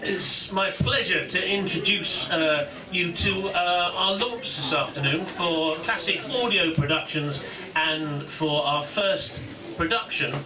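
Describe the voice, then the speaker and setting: Man giving launch speech, higher voice, heard from afar in an echoing room with noise. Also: a crowd in the background. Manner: self-deprecating, halting. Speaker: studio co-founder giving speech. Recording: distant, echoing, clear noise.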